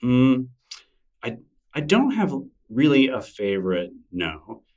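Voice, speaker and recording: A man's voice: mid-range voice, big pitch swings, slow pace, a little mellow, recorded from a distance. A man being interviewed in casual conversation, thoughtful and rambling, full of fillers and restarts. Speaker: interview guest, newsletter creator.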